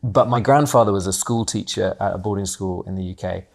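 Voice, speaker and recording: A man in his twenties who grew up in Hong Kong, speaking as an interview guest in a candid, animated, conversational way with many casual fillers. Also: deep voice, fairly quick, gravelly. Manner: candid and animated, frequent fillers like "like" and "you know", mild swearing. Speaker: guest, co-op founder.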